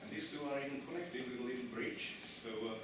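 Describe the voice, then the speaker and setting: Man, from the Republic, lecturer, halting with fillers, distant mic, echoey noisy hall. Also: medium pitch. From Czech Republic. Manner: halting, many restarts and fillers, asks the audience questions. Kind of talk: conference lecture.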